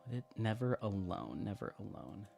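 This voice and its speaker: A man hosting a casual craft livestream, slightly sniffly, reading viewer comments aloud in a relaxed, friendly way at a desk microphone. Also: lowish voice, moderate pace, vocal fry, soft background music. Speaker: livestream host. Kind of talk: casual live-stream chat, reading comments. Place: home craft room, at a desk.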